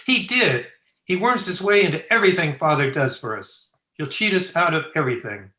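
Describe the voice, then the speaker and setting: A woman's voice: low voice, expressive, normal speed, sharp tone. A woman playing a jealous, spiteful young son in an old-time radio drama, petulant and accusing. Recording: echoey room over video call.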